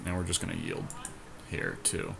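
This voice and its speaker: Man, lowish voice, casual game commentary, informal, narrating moves aloud, podcast co-host, background noise. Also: big pitch swings, normal speed, vocal fry, a bit bright.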